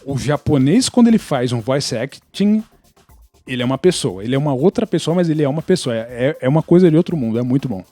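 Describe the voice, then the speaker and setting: A man's voice: mid-range voice, lively intonation, fast talker, mellow, no room sound, faint music behind. A Brazilian man explaining his opinions in casual podcast chat, blunt, opinionated and sometimes foul-mouthed. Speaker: podcast participant.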